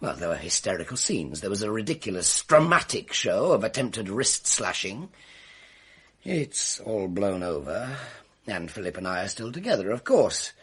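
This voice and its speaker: A theatrical, embittered man in a radio mystery. His voice is husky and swings widely in pitch, with florid, carefully turned phrasing full of spite. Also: sing-song, moderate pace, full character voice. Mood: wounded, bitter. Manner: florid, precise, melodramatic delivery. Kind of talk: radio mystery drama acting.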